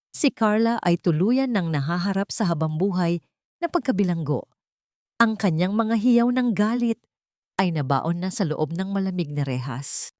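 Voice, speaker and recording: Low-pitched woman narrating a dramatic story. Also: darkish tone, dry recording, clean studio sound.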